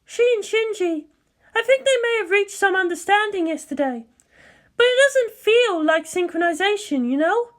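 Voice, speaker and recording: Woman playing an anxious, high-voiced female captain. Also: expressive, normal speed. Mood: uneasy, puzzled.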